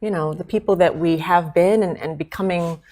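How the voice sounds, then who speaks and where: A woman's voice: lowish voice, moderate pace, dark tone. Reflective woman from Chicago. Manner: reflective, frequent tag "right?". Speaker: guest, consultant. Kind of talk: interview answers.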